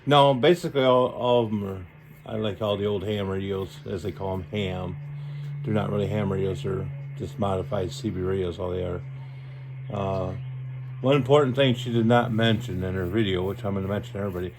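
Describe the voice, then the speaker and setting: A man with a gravelly, fairly low voice gives a casual hands-on explanation. He talks in a folksy, rambling, colloquial way, with faint noise behind him. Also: brisk. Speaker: radio hobbyist demonstrating equipment.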